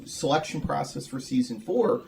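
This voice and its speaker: A man's voice: fairly high pitch, expressive, moderate pace, creaky. A man hosting a casual interview, talking in an informal, enthusiastic way. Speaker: interviewer, podcast host. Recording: noticeable room background noise.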